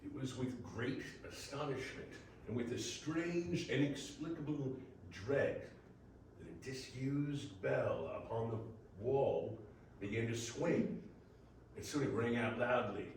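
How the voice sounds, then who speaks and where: A man's voice: medium pitch, slow. A man reading scripted story narration in a readers theater. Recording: very echoey room, distant mic, faint noise.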